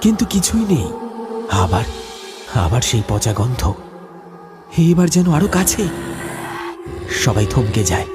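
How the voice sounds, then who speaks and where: Male narrator for dramatic horror audio tale: fairly low, lively intonation, over loud music. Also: measured pace, pauses often.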